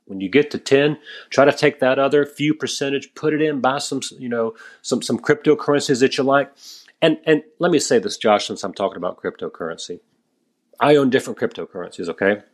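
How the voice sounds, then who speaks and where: A man in his late thirties talks as a podcast guest in a mid-pitched voice. He speaks with emphatic energy and keeps calling the host "man". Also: a little fast. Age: 30s. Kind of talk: podcast conversation.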